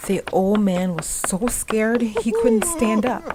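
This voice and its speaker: A woman storyteller narrating a ghost story in a suspenseful, expressive, dramatic style. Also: medium pitch, takes it slowly, a loud crowd. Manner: suspenseful, expressive, dropping to breathy hush. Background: music.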